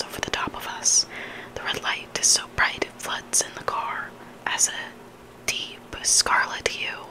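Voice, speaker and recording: Whispering ASMR storyteller, barely voiced. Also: rough recording. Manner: soft, unhurried whispering with dramatic storytelling phrasing. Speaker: ASMR creator reading listener horror stories.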